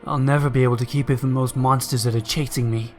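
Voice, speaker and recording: A man voicing an earnest, hunted hero in an animated fan dub, talking to himself anxiously and dramatically. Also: medium pitch, quick pace, faint music behind, lightly acted. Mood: anxious, resolute. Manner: anxious, dramatic self-talk. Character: desperate, earnest hunted hero wrestling with his duty.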